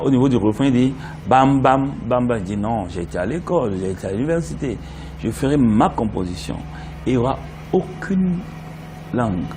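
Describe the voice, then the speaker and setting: A middle-aged man from Benin, a Yoruba speaker, chatting animatedly as an interview guest. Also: fairly low pitch. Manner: animated storyteller, breaks into song. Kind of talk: casual interview chat. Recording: noisy background.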